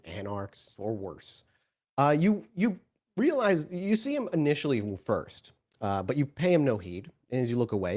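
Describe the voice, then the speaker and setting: A man improvising tabletop game narration as game master, in a halting, descriptive storytelling manner. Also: lowish voice, a little mellow.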